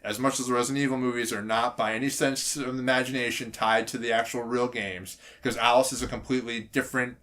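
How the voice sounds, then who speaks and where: A man's voice: medium pitch, level tone, fast, hardly any pauses, a bit bright. A man co-hosting a podcast in casual chat, speaking emphatically and giving firm opinions. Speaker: podcast co-host. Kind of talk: casual chat about video game movies.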